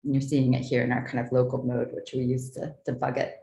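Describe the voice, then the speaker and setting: Very low-voiced woman in an echoey room. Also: little pitch movement, vocal fry, dark tone. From southeastern United States. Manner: measured, articulate, formal. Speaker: artist presenting own work. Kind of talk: prepared artist talk, read from notes. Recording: roomy, echoing sound.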